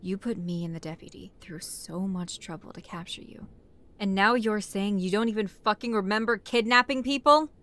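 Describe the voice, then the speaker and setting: A woman's voice: mid-range voice, moderate pace, fairly bright tone, natural voice. A woman playing a commanding, sardonic chief detective in a scripted audio roleplay, brusque and relentless. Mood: angry, accusing. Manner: brusque, commanding, swearing interrogator. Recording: clear background noise.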